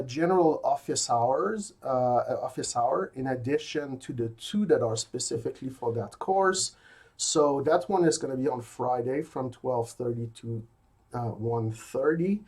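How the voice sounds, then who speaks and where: Male lecturer, Québécois French accent, native French speaker, mid-pitched, halting with frequent fillers, recorded alone in his office. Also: darkish tone, breathy edge. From rural Quebec. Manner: hesitant, many fillers and restarts. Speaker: university logic lecturer. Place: university office.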